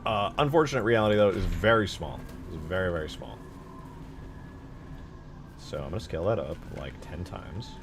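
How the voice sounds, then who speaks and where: Male video host, casual think-aloud commentary, creaky voice, lively swings in pitch, music underneath. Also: moderate pace, long pauses, noisy background. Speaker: game modder hosting a video. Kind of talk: casual commentary while working on screen.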